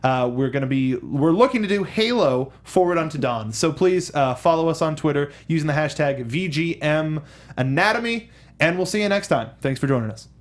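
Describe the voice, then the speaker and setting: An American man hosts a film talk show from a studio. His delivery is casual and conversational, with frequent repeated words and halting restarts as he works through each thought. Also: on the high side, lively intonation. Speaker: host of a film review show. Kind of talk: casual film discussion chat. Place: studio with a control booth.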